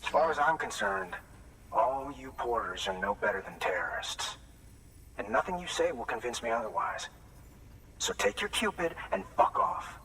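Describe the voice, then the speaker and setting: Game junk dealer: bitter, cantankerous, sardonic, mid-pitched, heard from a distance with noticeable ambient noise. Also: male voice, animated, moderate pace, vocal fry. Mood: bitter, hostile. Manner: curt, sardonic, profane. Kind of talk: video game cutscene acting.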